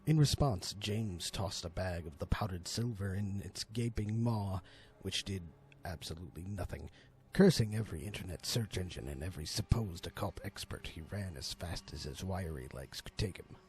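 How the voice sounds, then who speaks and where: A man's voice: sits low, normal speed. A man narrating a scripted horror story in a dramatic storytelling style. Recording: music under the voice. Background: background music.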